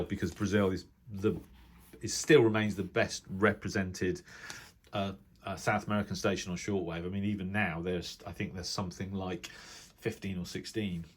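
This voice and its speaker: Low-voiced man rambling through casual commentary. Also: fairly bright tone. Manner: rambling, self-correcting explanation, thinking aloud. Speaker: radio hobbyist vlogger. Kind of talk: casual informal commentary, reviewing a reference book.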